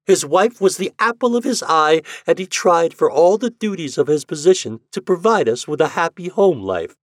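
A man voicing a bitter, sharp-tongued young woman who talks in a clipped, hard, mocking way. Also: on the high side, very animated, normal speed, no echo, studio quality, voice pushed up.

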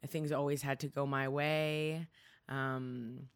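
Low-voiced Canadian woman, candid and reflective. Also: level tone, slow, clean tone. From Ontario, Canada. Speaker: interview guest. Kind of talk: reflective personal storytelling.

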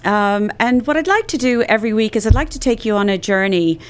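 A woman's voice: medium pitch, expressive, a little fast, clean tone. A woman in her late forties from Ireland with a Cork accent, hosting a live-streamed show in a chatty, rambling way with many fillers. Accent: Irish, Cork. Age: 40s. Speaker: host. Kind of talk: live-streamed casual chat.

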